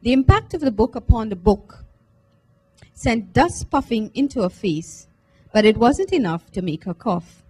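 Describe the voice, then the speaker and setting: A woman giving an expressive first-person literary reading, in a measured, deliberate, dramatic manner. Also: on the high side, husky, rough recording. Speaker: reader at a book launch. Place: live book launch event at a library.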